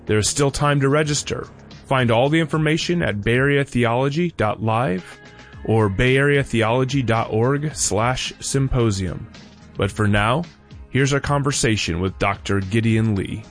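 A man, a podcast host, reading a scripted intro and announcements in a measured way. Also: mid-range voice, soft background music.

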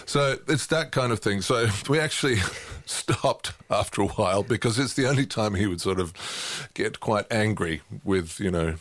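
A low-pitched middle-aged man chats easily as an interview guest. He is wry and self-deprecating and laughs often. The sound is close and dry, with no room around it. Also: moderate pace, hardly any pauses, no room sound. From England. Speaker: guest, opera music head. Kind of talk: relaxed interview conversation.

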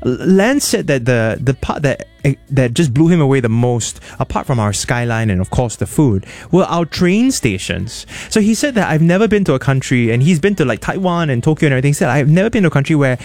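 A Singaporean man hosting a radio show, chatting casually and telling stories with quick asides in a studio. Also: mid-range voice, very animated, a little mellow, dry recording. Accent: Singaporean English. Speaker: radio host. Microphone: studio mic.

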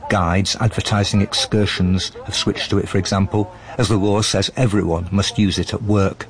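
A man reads a scripted radio report as a correspondent. His voice sits on the low side. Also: flat delivery, normal speed. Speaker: news correspondent.

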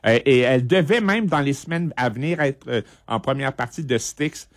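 A middle-aged man with a Quebec French accent, presenting a music segment on radio in a conversational, halting, informative way. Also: mid-range voice, lively intonation, no echo, clean studio sound.

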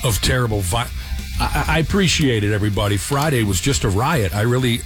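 Deadpan male radio host. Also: mid-range voice, fast talker, soft background music. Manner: dry, deadpan teasing. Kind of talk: casual morning radio banter.

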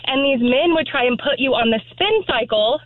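A woman's voice: sits high, lively intonation, normal speed, no room sound. A woman from Texas calling in to a radio show and casually telling an anecdote over a narrow phone line. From Texas, United States. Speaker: radio call-in guest.

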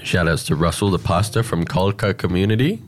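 Deep-voiced Australian man, chatty radio host. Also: a little fast, noisy background. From Alice Springs, Australia. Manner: relaxed, chatty storyteller with frequent fillers. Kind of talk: casual radio chat.

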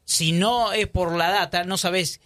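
An Argentine man on a sports panel reasons out loud with examples and fillers. His voice is bright and forward, a bit high, and heard dry and close. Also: steady tone, measured pace, no room sound. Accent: Argentine Spanish. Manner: talks things through with examples and filler words. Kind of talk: casual radio panel chat.

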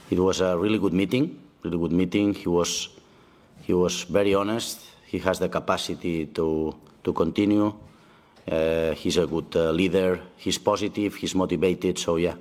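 A Spanish-native man answers reporters' questions as a national team coach. He speaks in a very low voice. His English is halting, with frequent "uh" pauses and repeated words. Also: darkish tone. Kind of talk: press conference answers.

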